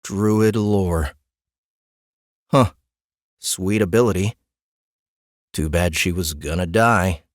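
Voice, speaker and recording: Low-voiced man narrating wryly. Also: slow pace, pauses often, no room sound. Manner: wry, dry humor. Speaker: audiobook narrator. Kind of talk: scripted narration of a comic fantasy story. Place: dry studio.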